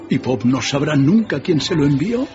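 An actor playing a repentant old miser, fervent and exclamatory, in a theatrical radio drama. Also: male voice, fairly high pitch, sing-song, normal speed. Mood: delighted. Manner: exclamatory, emotional, repeats words. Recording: dull band-limited audio, music underneath.

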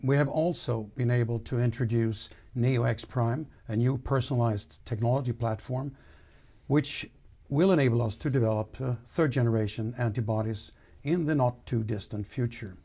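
Gravelly older man, formal address. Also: mid-range voice, mellow. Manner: measured, formal, with hesitations. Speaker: board chairman. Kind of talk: prepared corporate address. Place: studio against a dark backdrop. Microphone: lapel mic.

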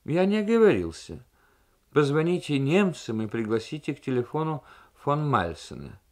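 A man voicing a composed male diplomat in a radio novel reading, giving polite, deliberate, insistent instructions. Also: a little high, very animated, voice pushed up.

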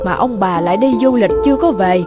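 A woman's voice: mid-range voice, quick pace, over loud music, lightly acted. A woman voicing a humble, dignified middle-aged housekeeper in a cartoon, earnest and deferential. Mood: pleading. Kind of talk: animated moral-tale dubbing.

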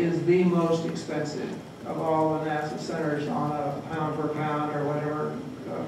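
A man's voice: fairly high pitch, background noise. A man on a conference panel, speaking emphatically about his past work. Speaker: panelist, former agency staffer. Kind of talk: panel discussion. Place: hotel conference room, panel table. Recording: echoey hall recorded from far off.

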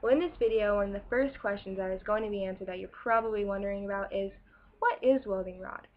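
A woman's voice: medium pitch, fast talker. A young woman in a small room presenting a school project about welding to her webcam, in a casual way with many ums and restarts. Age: young adult. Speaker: welding student. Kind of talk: school project explainer video. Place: small room against a plain wall, indoors. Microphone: webcam in front of her. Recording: dull lo-fi webcam audio.

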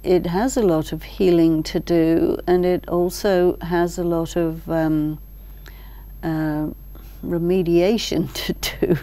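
Slow, low-voiced older woman explaining. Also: fairly even intonation, warm tone. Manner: measured, deliberate, pausing between short phrases. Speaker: expert guest, teacher. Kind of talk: thoughtful explanatory interview talk. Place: large library hall, indoors. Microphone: lapel mic.

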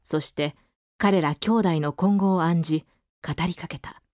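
A woman's voice: lowish voice, unhurried, a little mellow, dry recording, studio quality. A woman giving scripted narration for a radio drama as its narrator.

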